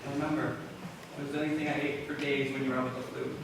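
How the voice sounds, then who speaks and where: A man's voice: medium pitch, flat delivery, very fast, natural voice. Very echoey guarded teenage boy acted by a young man. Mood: patient, subdued. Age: teen or young adult. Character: isolated, guarded, dutiful teenage son. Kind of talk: amateur stage play acting. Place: school stage, recorded from far off. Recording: echoey stage, far mic, noisy.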